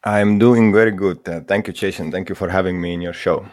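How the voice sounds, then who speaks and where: A man from Estonia, a guest in an interview, speaking English in a halting way with many fillers and restarts. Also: sits low, steady tone, a little mellow, dry recording. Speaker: guest, mushroom school founder. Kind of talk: casual interview talk.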